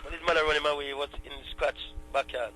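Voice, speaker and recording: Man, Jamaican accent, quick talker, bright voice, patois storytelling. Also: on the high side, fairly even intonation, low-quality recording. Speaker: interviewee.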